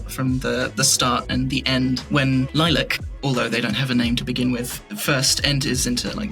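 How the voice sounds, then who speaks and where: A man's voice: medium pitch, pushed and bright. A man chatting casually as a podcast guest, rambling in a whimsical way. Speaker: podcast guest, audio drama writer. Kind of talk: casual interview chat.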